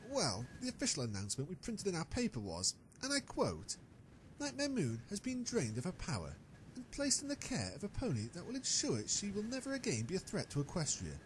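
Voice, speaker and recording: A man's voice: high voice, wildly swooping pitch, fairly quick, slight background noise, poor audio. A man playing a cocky, gossiping unicorn newspaper reporter with a knowing manner in an amateur audio drama.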